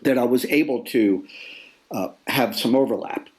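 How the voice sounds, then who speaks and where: A man in his sixties talking as an interview guest, halting often and repeating words as he thinks aloud. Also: mid-range voice, a little mellow, rough recording. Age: 60s. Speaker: guest author. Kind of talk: reflective interview conversation.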